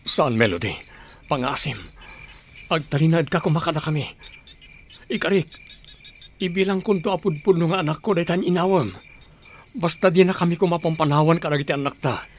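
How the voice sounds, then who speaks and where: An actor in a radio drama playing a heartbroken, desperate husband, pleading with anguish. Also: male voice, on the high side, fairly quick, long pauses, slight background noise, lightly acted.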